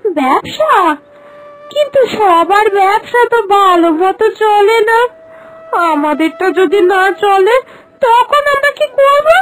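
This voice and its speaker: A young village housewife from a cartoon fairy tale, played by a woman, very high and swooping in pitch. She is eager, anxious and outspoken, pleading excitably over background music. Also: slow pace, full character voice, rough recording. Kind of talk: Bengali animated fairy-tale voice acting. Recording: music behind.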